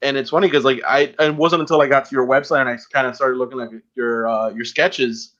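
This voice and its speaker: A man hosting a casual interview, asking questions in a loose, halting, conversational way. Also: medium pitch, fairly even intonation, a bit bright. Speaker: podcast host, interviewer. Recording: slightly dull, lo-fi sound.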